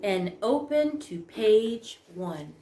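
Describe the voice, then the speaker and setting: A woman narrating a children's story as a storytime host, in a measured, expressive read-aloud manner. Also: mid-range voice. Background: prominent piano music score.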